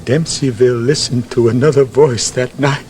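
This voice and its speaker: An actor playing a guilt-ridden townsman in a halting, theatrical, anguished confession from a vintage drama. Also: mid-range voice, fairly even intonation, a little mellow, lightly acted. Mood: bitter, brooding. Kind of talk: 1950s television drama acting. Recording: noisy vintage broadcast drama audio.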